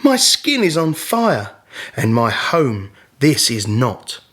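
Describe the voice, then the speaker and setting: A man's voice: mid-range voice, wildly swooping pitch, takes it slowly, a bit bright, exaggerated voice. A man voicing a discontented young boy who complains in a sulky, dramatic way in a children's storybook. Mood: sulky, complaining.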